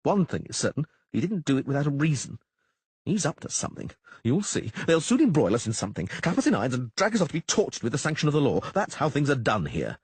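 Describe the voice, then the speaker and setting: A man's voice: very animated, fast talker, darkish tone, breathy edge, dry recording, heavily acted. A man voicing a frightened young male troubadour who whines and panics. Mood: fretful. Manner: whining, shrill and panicky.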